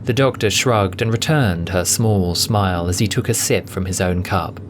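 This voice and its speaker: A man's voice: deep voice, normal speed, noisy background. A man narrating a scripted story as the narrator of an audio drama. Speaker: narrator, voice actor. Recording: music bed under voice. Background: music.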